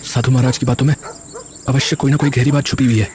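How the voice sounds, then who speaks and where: A man's voice: medium pitch, flat delivery, quick pace, darkish tone, lightly acted. A man playing a poor, humble young goatherd in a cartoon story, earnest and questioning in a formal way. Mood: weary, pondering. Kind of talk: Hindi cartoon story character dialogue. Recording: music behind the voice.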